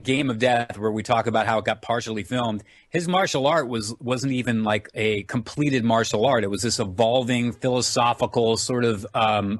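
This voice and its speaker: A man's voice: medium pitch, dry recording. A middle-aged man chatting casually as an interview guest, talkative and rambling as he explains things. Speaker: interview guest, actor and martial artist.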